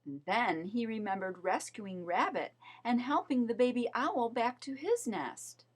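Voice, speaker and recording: A woman reading a children's story aloud as narrator, in an expressive, measured storytelling manner. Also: fairly high pitch, husky. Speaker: storybook reader.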